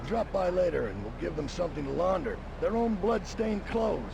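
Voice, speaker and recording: An actor playing a hot-tempered, bossy Italian-American mafia capo in a video game. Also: male voice, sits high, lively intonation, normal speed, highs cut off, background noise, full character voice. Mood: alarmed, furious. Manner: gruff, bossy, streetwise. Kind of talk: video game cutscene acting.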